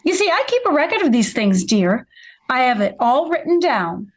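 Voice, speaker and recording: Woman as patient sitcom mother, high and lilting. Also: big pitch swings, normal speed. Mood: explanatory. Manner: explanatory, gently insistent. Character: patient, practical middle-aged housewife and mother. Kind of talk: vintage radio sitcom acting.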